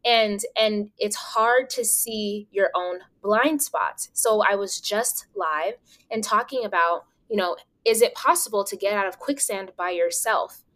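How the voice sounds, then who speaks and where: A woman's voice: mid-range voice, unhurried, hardly any pauses. Upbeat woman podcast host. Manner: energetic, hyped, talks straight to listeners. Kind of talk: casual podcast interview.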